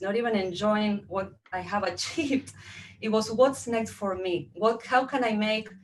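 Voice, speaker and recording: A middle-aged woman with an Argentine accent, native in Spanish, speaks as a guest. She tells a personal, motivational story in a medium-pitched voice. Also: slight background noise, poor audio. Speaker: guest speaker, entrepreneur and coach.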